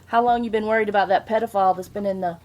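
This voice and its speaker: A woman's voice: medium pitch, steady tone, rapid-fire, a little mellow. A woman co-hosting a podcast, talking frankly and laughing often. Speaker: podcast co-host. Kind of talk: casual podcast chat.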